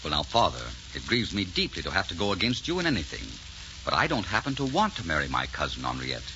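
Romantic young prince, impudent, impassioned, widely swooping pitch, 1940s radio drama, noisy old recording. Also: male voice, huge pitch swings, fast, lo-fi sound. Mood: earnest. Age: 33 as played. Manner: theatrical, impassioned.

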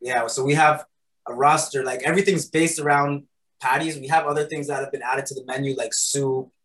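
A man's voice: medium pitch, fairly even intonation, fairly quick, fairly bright tone, rough recording. Easygoing man chatting. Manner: loose and conversational, many fillers and "right?". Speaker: guest, patty shop founder. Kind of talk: casual interview answers. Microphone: recording studio mic.

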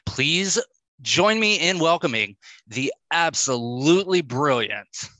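Male podcast host, gushing and enthusiastic, slow-paced delivery, bright forward voice, dry close sound. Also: a little high, expressive, no room sound. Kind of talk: casual interview chat.

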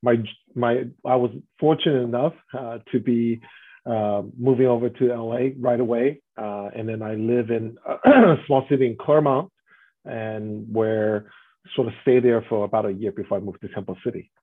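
A man from Taiwan, a restaurant guest answering interview questions at length and often tagging his points with a quick "right?". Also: medium pitch, fairly even intonation. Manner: explanatory, often adds "right?" tags. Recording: muffled phone-like line.

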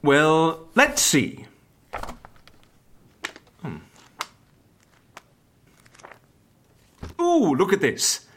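A man playing a genial, eccentric secret-agency interviewer in an audio drama comedy, polite and theatrical as he pitches his services. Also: wildly swooping pitch, drawn-out words, fairly bright tone, slight background noise, full character voice. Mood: amused, jovial. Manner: theatrical, polite, hesitant then exclaiming.

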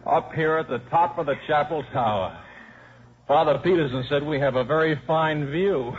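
A man's voice: on the high side, normal speed, slight background noise, close to an everyday voice. An actor playing a wisecracking, down-on-his-luck football coach and father with a dry, sardonic manner in a 1950s radio drama. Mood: weary, gruff. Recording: muffled old radio broadcast recording.

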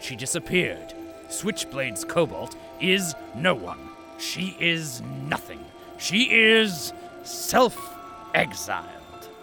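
Menacing dystopian radio announcer, gravelly, dramatic swings in pitch, stern, music behind. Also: male voice, wildly swooping pitch, slow pace, heavily acted. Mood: grave, ominous. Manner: pompous, theatrical, menacing. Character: stern, pompous corporate propaganda announcer of a dystopia. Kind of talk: dramatic scripted radio narration.

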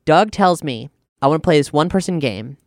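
A man's voice: on the high side, animated, quick pace, dry recording, studio quality. A man talking casually and wryly as a player on a role-playing show. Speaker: player and performer on an actual-play show. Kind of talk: casual conversational banter and description.

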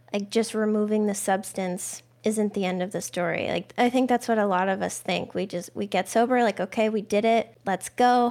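A woman's voice: mid-range voice, a little mellow. A woman hosting a podcast, talking casually with her guest and often saying "like". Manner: conversational, frequent "like". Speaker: podcast host. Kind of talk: casual interview chat.

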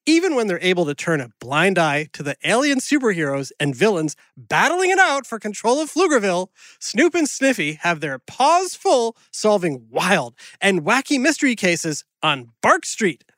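A peppy woman narrator reading a script in an upbeat promo style. Her mid-pitched voice is very lively, and the recording is close and dry. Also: normal speed, few pauses, no room sound. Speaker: narrator and podcast announcer.

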